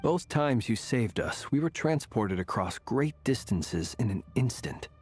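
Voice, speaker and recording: An actor playing a composed, courteous and analytical man in a video game, speaking formally with measured authority. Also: mid-range voice, animated, darkish tone, husky, soft background music.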